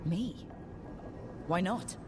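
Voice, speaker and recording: An actress playing a composed, decisive video game heroine with a dry, questioning manner. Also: female voice, on the low side, lively intonation, drawn-out words. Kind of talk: video game character dialogue. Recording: music behind.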